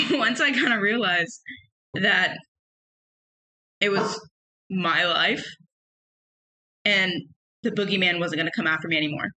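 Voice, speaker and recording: A young woman with a loud, emphatic voice, telling personal stories in a casual solo podcast. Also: fairly low pitch, a little slow, long pauses, rough recording. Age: young adult. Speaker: podcast host.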